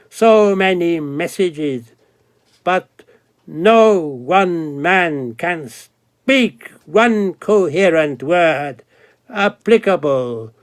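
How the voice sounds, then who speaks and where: Guy doing a huge old god of time, solemn and chanting, dragging every word out real slow. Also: on the high side. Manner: solemn, incantatory, slow, with dramatic pauses. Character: ancient male god of time, grandiose, commanding. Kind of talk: dramatic poetic monologue.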